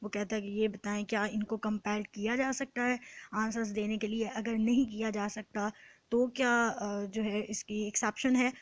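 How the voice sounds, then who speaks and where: A woman's voice: medium pitch, steady tone, normal speed, dry recording. A woman with a Pakistani accent giving a methodical, explanatory law lecture, often checking that listeners follow. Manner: methodical, checks understanding with short tags. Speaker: law teacher. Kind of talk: explanatory exam-prep lecture with line-by-line translation.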